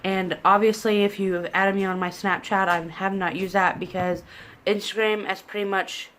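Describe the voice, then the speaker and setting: A woman's voice: mid-range voice, fairly even intonation, moderate pace, a bit bright. A young woman from southern Indiana, congested, chatting casually in a rambling, self-deprecating way to a camera in her living room. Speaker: pregnancy vlogger. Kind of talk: casual vlog chat. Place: living room at home, indoors. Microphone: camera in front at desk distance.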